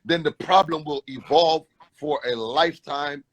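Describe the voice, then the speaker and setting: A man's voice: on the high side, measured pace, a bit bright, slight background noise. A man hosting a panel discussion, rambling through restarts and rhetorical questions.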